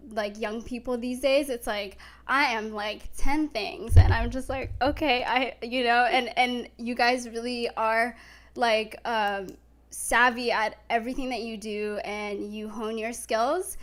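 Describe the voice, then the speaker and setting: A woman's voice: a little high, steady tone, unhurried, a bit bright. A woman hosting a casual interview, talking in an effusive, gushingly enthusiastic way. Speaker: talk show host.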